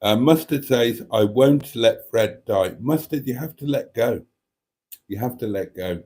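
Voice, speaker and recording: Slow, wry man with a cold. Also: mid-range voice, breathy edge. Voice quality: slow, wry, deadpan delivery. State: snotty, coughing. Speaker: livestream host reading chat. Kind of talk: casual live chat.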